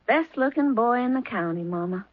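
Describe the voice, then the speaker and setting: A woman's voice: a little high, expressive, moderate pace, no room sound. An actress playing a patient young country woman leaving home for an acting career, in a 1970s radio drama. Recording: dry, tinny old radio broadcast.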